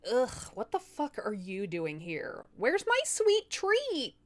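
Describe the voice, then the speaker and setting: Casting: woman as sweet-toothed, gleeful storybook demoness, brash and mocking, high voice, big swoops. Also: huge pitch swings, heavily acted. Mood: irritated. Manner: brash, mocking, drawn-out exclamations. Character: jealous, foul-mouthed, gleeful tree demoness with sweet tooth.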